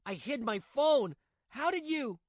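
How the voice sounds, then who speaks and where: A woman's voice: high-pitched, animated, measured pace, no room sound, clean studio sound. A woman voicing a weak, cheating husband in a manga dub, stammering and pleading.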